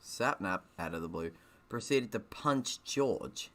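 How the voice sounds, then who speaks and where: A man's voice: on the low side, lively intonation, slow, a bit bright. A man reading a game's story aloud with casual, rambling asides, breaking off to comment as he goes. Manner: rambling, self-interrupting. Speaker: gaming video host.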